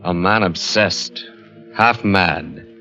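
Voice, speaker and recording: An actor playing an ominous announcer of a 1940s radio horror series, with a dramatic delivery. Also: male voice, low voice, very slow, vocal fry, full character voice. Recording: muffled old radio, music behind.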